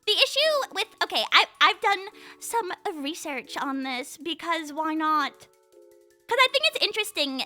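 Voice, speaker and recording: A young woman hosts a casual livestream chat in a very high, strikingly bright voice. Her intonation moves around a lot as she rambles playfully from one thought to the next, talking to her viewers. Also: sing-song, a little slow, faint music behind. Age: 20s. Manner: playful, rambling, says "like" constantly. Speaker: livestream host chatting with viewers.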